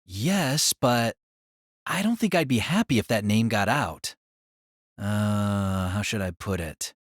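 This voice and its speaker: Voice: medium pitch, lively intonation, takes it slowly, no echo, studio quality, pitched below the performer's own voice. A narrator voicing a modest teenage boy swordsman who speaks hesitantly and runs himself down. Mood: flustered.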